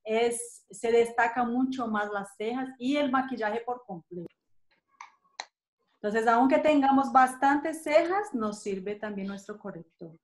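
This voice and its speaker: A woman's voice: fairly high pitch, measured pace. Chatty Colombian woman on webcam. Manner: chatty, tags questions with "¿sí?". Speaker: host of a live makeup session. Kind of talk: casual live-stream chat with viewers. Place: small indoor room, seated at a desk. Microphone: webcam at desk distance.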